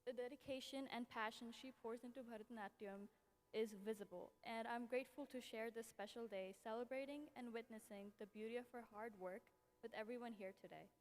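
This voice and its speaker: A woman's voice: fairly high pitch, brisk. A young woman giving an earnest, lightly self-deprecating tribute speech to a friend at a dance recital. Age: young adult. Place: event hall. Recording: long hall reverb.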